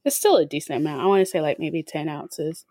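A woman's voice: sits low, expressive, a little fast, warm tone, no room sound. Chatty woman podcast co-host, dry sound. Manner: chatty, lots of likes and ums. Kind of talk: casual chat.

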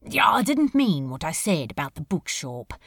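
Low husky male demon acted by a woman, teasing, lively intonation, audio drama, very dry close sound. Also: normal speed, dry recording, full character voice. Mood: fond. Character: male demon, laid-back, teasing, secretly sentimental. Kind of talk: fan audio drama acting.

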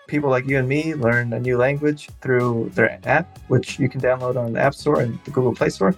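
A man's voice: medium pitch, fairly even intonation, a little fast, soft background music. A man hosting a podcast, chatting loosely with a guest and laughing often. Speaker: podcast host. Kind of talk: casual interview chat.

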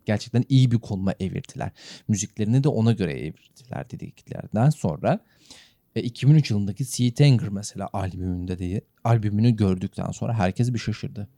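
A man's voice: on the low side, warm tone, no echo, clean studio sound. A man co-hosting a podcast, discussing and arguing points in a casual, conversational way. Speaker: podcast co-host. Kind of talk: casual chat, music discussion.

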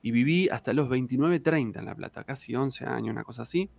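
A man's voice: mid-range voice, fast talker, vocal fry, darkish tone, dry recording. A man in his thirties from southern Argentina with an Argentine accent, a tango singer chatting loosely in an interview, full of fillers and tag questions. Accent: Argentine Spanish. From Argentina, Santa Cruz province. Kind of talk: casual interview storytelling.

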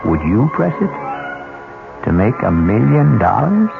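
A gravelly American man hosts a suspense radio drama. He speaks very deliberately and ominously, with theatrical swings. The recording is thin and phone-like, with music behind. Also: lowish voice, wildly swooping pitch.

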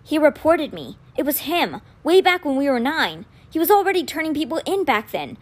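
Woman voicing a high, distraught, guilt-ridden teenage boy. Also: big pitch swings, moderate pace. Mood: hurt, miserable. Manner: stammering, pleading, emotional.

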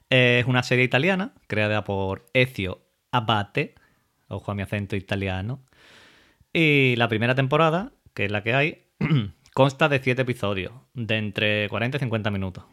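A man hosts his own podcast. His voice sits fairly low, and his talk is loose and informal, full of fillers and restarts. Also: a bit bright. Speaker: podcast host. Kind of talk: casual podcast chat.

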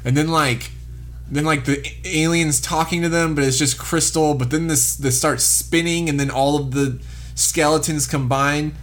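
A man's voice: on the high side, steady tone, moderate pace. Rambling man leading a movie chat. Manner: rambling, full of likes and self-interruptions. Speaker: podcast host. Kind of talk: casual movie-review chat.

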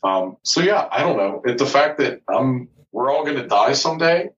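A man's voice: medium pitch, animated, moderate pace, fairly bright tone, a little hiss or hum. Blunt, cussing man in his forties. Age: 40s. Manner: blunt and profane, candid. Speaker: guest. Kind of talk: casual chat. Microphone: video call. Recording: distant, dull video call audio.

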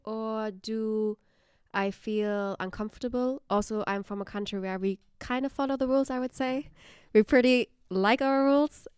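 A young woman talks reflectively in a podcast discussion. Her voice is strikingly clear and smooth, at a middle pitch, recorded dry with very little room around it. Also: no room sound. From Germany. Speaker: podcast guest. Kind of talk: reflective discussion, personal anecdote.

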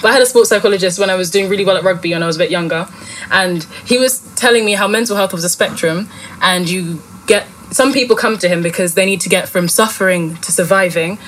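A woman's voice: mid-range voice, fast talker, a little hiss or hum, rough recording. A young woman hosting a casual podcast chat, asking long rambling questions and correcting herself as she goes. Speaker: podcast host.

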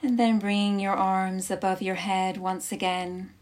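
Woman, yoga nidra instructor, guided relaxation, very slow pace, mid-range pitch, soothing, short phrases, long pauses. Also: little pitch movement, a little mellow.

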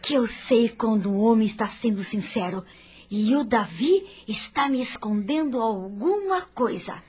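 High-voiced jealous young wife played by an actress. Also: female voice, lively intonation, measured pace, a little mellow, slightly rough. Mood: jealous, troubled. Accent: Brazilian. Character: suspicious, jealous young wife, strong-willed and anxious. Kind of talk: radio soap opera acting.

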